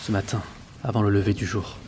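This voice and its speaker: An actor playing an earnest sailor who narrates his ship's log in a measured, reflective way for an audio drama. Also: lowish voice, fairly even intonation, background noise, natural voice. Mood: uneasy. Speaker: narrator and lead character. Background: background music.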